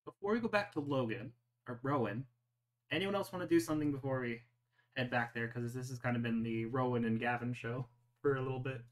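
A man's voice: mid-range voice, fairly quick, poor audio. A man acting as game master, chatting casually and narrating a tabletop roleplay over a voice call. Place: online voice call.

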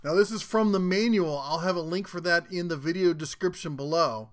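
A man's voice: high-pitched, a little fast. A man hosting a tool review channel, giving a casual, folksy and jokey rundown of a new product as a voice-over.

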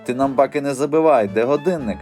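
A man voicing a young boy in an audiobook, nervous underneath but blustering, impatient and excitable. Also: mid-range voice, quick pace, soft background music, voice pushed up, close to an everyday voice. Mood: threatening.

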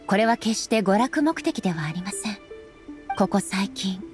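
Game role: actress as a dignified immortal female sovereign, composed, formal, mid-pitched, light music underneath. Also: expressive, lightly acted. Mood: firm, formal. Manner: formal, polite, measured archaic speech. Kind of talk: anime game dialogue acting.

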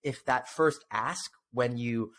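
Young man hosting a podcast, medium pitch. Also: slow pace, fairly bright tone. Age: 20s. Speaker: podcast host. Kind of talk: conversational podcast talk. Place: small room at home, indoors. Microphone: close desk microphone.

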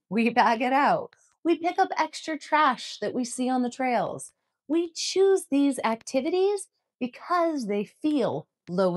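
A woman in her thirties giving an earnest, emphatic scripted explainer to camera from a room at home. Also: medium pitch. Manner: earnest, emphatic, gestures with both hands. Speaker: outdoor vlogger. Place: home room with bookshelves, indoors.

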